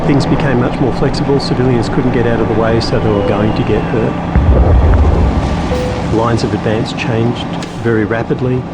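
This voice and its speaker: A man explaining history conversationally, as in a documentary interview, with music behind him. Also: mid-range voice. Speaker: history commentator.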